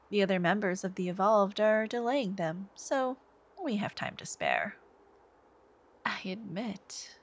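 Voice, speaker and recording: A woman playing a smitten, sweetly menacing young supervillain stalker in an intimate ASMR roleplay, coy and theatrical, sometimes murmuring. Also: mid-range voice. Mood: nervous, smug. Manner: coy, unhurried, theatrical, lapsing into breathy murmurs.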